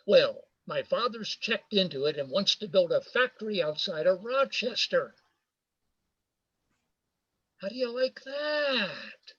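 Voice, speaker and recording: Radio drama: male actor as a jovial, teasing big shot, high-pitched and slow, hearty in his boasting. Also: lively intonation, a bit bright, breathy edge, exaggerated voice. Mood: boastful. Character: brash, jovial male businessman, George's old pal. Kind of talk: amateur radio play acting. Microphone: video call. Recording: muffled video call audio.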